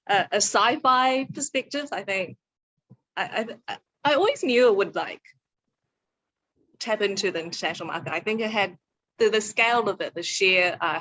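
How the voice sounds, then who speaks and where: A woman talks frankly on a panel about making a show. Her voice sits fairly low for a woman, and she laughs easily between points. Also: rough recording. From New Zealand. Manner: candid, laughs often, lively. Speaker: co-creator and actor. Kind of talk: panel talk.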